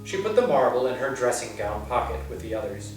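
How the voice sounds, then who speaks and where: A man reading a children's book aloud as a library storyteller, with slow, expressive delivery. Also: mid-range voice. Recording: echoey room, far from mic, soft guitar.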